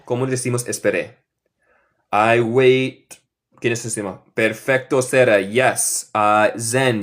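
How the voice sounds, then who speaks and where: A young American man from the northern United States with a Midwestern accent, teaching English in Spanish as a second language on a live online class. He repeats each example sentence slowly. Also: mid-range voice. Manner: slow, repeats example sentences several times.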